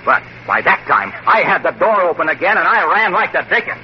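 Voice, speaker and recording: A smooth-talking man spins a tale with slow dramatic pauses, his voice pitched a touch high. He is on an old 1940s radio show, heard through a muffled recording with a steady hiss. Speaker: comic announcer pitching a product.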